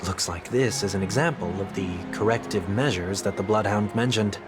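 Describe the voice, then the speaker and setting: An actor voicing a composed, formal young man of principle in a video game, speaking in a measured way. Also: lowish voice, lightly acted. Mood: calm. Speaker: game character. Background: game background music.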